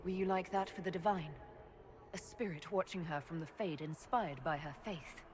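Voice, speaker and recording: An actress playing a measured, inquiring leader in a fantasy game. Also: female voice, on the low side, natural voice. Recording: game audio over music.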